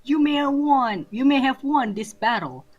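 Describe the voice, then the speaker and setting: Woman as furious cartoon pony villainess, theatrical shouting, defiant, swooping delivery. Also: sits high, expressive, normal speed, dull audio.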